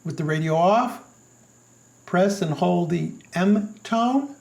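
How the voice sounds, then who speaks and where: A man narrates a scripted product review. His voice sits high for a male speaker and has a clearly creaky, gravelly edge. He delivers it as a reviewer walking the listener through a product. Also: slow pace, darkish tone.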